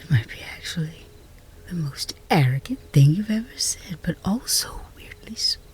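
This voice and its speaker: A woman playing a flustered, lovestruck chess rival in a romance roleplay ASMR, whispery and intimate, with halting, teasing delivery. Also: deep voice, lively intonation. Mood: tender, hesitant. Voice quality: breathy, whispery.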